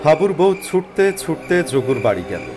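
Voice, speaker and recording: A man narrating a scripted animated horror story in a dramatic storytelling style. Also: on the high side, fairly quick, over music.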